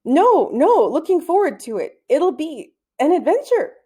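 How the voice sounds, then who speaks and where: A woman's voice: high-pitched, very animated, measured pace, warm tone, pitched above the performer's own voice. A woman voicing an anxious schoolboy who nervously and eagerly insists that he is fine. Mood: forced bravado. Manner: nervous, overeager, sometimes stammering.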